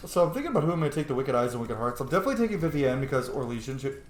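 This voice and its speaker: A man's voice: mid-range voice, big pitch swings, rapid-fire. A man giving casual, sweary gameplay commentary, thinking aloud and playfully bursting into silly exclamations. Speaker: streamer playing a role-playing video game. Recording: roomy echo, faint background noise.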